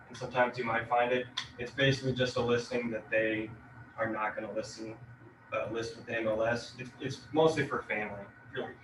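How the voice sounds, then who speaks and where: A man giving a relaxed real estate lecture, leaning on fillers as he explains. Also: on the low side. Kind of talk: casual classroom lecture. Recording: echoey room, distant mic, noisy.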